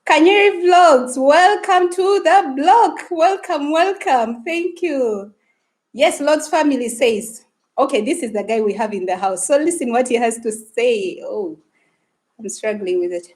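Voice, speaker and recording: Woman, Luganda speaker, live-stream host, chatty and a touch high-pitched. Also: measured pace, darkish tone. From Uganda. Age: adult woman, married. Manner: chatty, greets and reads viewer comments aloud. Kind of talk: casual live-stream chat.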